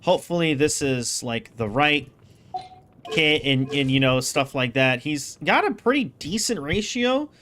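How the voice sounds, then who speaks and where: A man's voice: on the high side, expressive, unhurried, fairly bright tone, a little hiss or hum. A man giving casual, rambling game commentary, thinking aloud as he streams.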